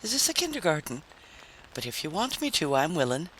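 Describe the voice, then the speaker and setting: Man doing a light, bright, sardonic stranger. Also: fairly high pitch, wildly swooping pitch, low-quality recording. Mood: facetious. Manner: rapid, playful, on guard. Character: sardonic, quick-witted male stranger, facetious and guarded. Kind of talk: dramatic story reading. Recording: faint background noise.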